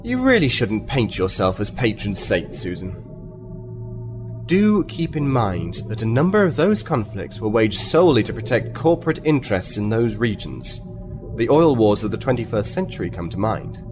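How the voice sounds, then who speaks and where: An actor playing a firm, formal military captain who is politely confrontational, in a sci-fi audio drama. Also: male voice, lowish voice, sing-song, normal speed, over music. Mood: dryly combative. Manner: formal, assertive.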